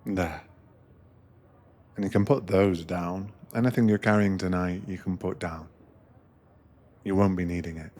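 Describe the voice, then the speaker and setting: Male, low-pitched and very slow, for gentle ASMR roleplay as a soothing train attendant. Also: steady tone. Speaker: narrator playing a sleeper train attendant. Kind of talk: ASMR guided meditation roleplay, scripted narration.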